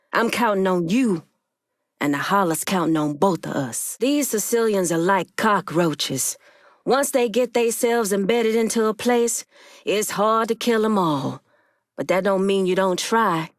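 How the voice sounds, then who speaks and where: A stern Haitian woman crime boss from a video game, acted by a woman, recorded dry. Her voice sits at a medium pitch. She is proud and shrewd, with a commanding, deliberate, street-tough delivery. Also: no echo, natural voice. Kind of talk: video game character dialogue.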